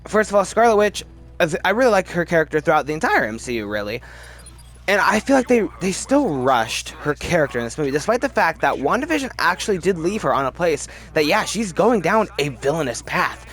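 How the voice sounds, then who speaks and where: A man's voice: a little high, very animated, a little fast, fairly bright tone. A man hosting a casual, opinionated commentary video, talking in a rambling, emphatic way and often interrupting himself. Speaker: video host.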